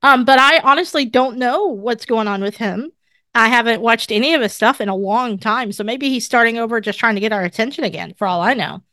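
A woman's voice: on the high side, a little fast, sharp tone, no room sound. A congested woman co-hosting a podcast, chatty and opinionated as she leads a casual conversation from her home office. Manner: chatty and opinionated, leading the talk. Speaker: podcast co-host. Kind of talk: casual chat.

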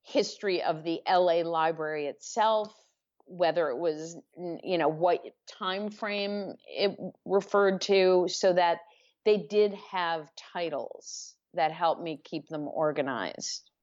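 A woman author being interviewed, speaking thoughtfully with pauses and self-corrections. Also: fairly low pitch, a little mellow, lo-fi sound. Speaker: author guest. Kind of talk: podcast interview.

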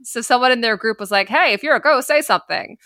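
Chatty woman with a bright, dry voice. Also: on the high side, quick pace, no echo. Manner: chatty and animated, with filler words and playful sound effects. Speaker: podcast host. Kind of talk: casual storytelling chat.

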